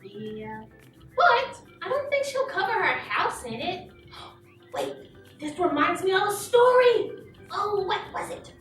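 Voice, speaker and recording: Timid young puppet friend, very high, swooping voice, jittery exclamations, puppet show, distant mic. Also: sing-song, slow pace, fairly bright tone, full character voice. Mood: hesitant, worried. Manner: jittery, exclaiming, repeats words. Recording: roomy echo, distant mic, music behind.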